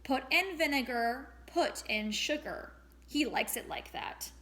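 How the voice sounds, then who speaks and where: A woman's voice: high-pitched, lively intonation, takes it slowly, fairly bright tone, full character voice. A woman reading aloud as a beautiful storybook lady from the sea who gives instructions. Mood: instructing. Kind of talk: storybook read-aloud character voice.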